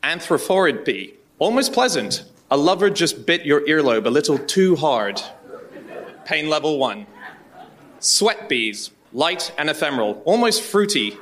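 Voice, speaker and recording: A man's voice: fairly high pitch, big pitch swings, unhurried, a bit bright, slight background noise. A man giving a dramatic reading as a podcast panellist.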